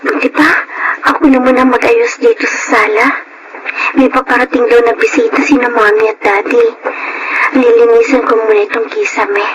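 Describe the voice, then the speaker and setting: A woman's voice: a little high, fairly even intonation, moderate pace, dull audio, close to an everyday voice. A woman playing a dutiful, eager-to-please adopted orphan girl in a Filipino radio drama, speaking politely and deferentially. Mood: busy, determined. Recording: noisy radio drama track.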